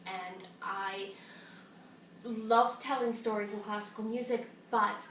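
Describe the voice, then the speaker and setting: A woman's voice: mid-range voice, steady tone, slow pace, fairly bright tone. Woman singer chatting in a roomy space. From Canadian Maritimes. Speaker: singer and actor, interview guest. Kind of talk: casual conversation. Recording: roomy echo, distant mic, faint noise.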